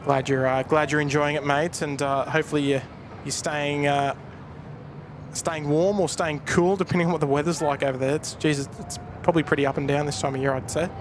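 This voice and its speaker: A man's voice: fairly high pitch, steady tone, a little fast. Chatty Australian man spotting planes. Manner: chatty, thanks viewers, many fillers. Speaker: live stream host and plane spotter. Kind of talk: casual live commentary. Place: outdoors at an airport viewpoint. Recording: outdoor noise with traffic and aircraft.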